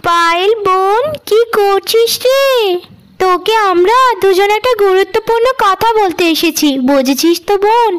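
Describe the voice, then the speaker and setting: Woman acting sly, very high-pitched elder sister. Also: moderate pace. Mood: coaxing, falsely sweet. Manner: coaxing, sugary. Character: scheming elder sisters, falsely sweet young women. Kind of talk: Bengali cartoon moral tale.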